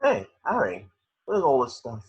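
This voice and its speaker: A performer voicing an eager, excitable schoolboy wizard in an amateur script read-through. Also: medium pitch, moderate pace, lo-fi sound. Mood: curious. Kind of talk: amateur table read of a screenplay.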